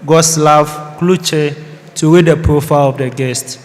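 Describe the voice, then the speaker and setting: Young guy chairing the session, talks real slow, kinda high voice, hall echo. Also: steady tone, darkish tone, faint music behind. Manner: slow, halting formal announcing with fillers. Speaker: speaker of a youth parliament. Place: large hall at a youth parliament. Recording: reverberant hall.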